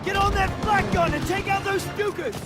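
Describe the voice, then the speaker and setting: An actor playing a commanding American army squad leader in a war game, barking urgent orders in an American accent. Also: male voice, very high voice, moderate pace. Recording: battle game audio under loud music. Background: loud music, gunfire, vehicles.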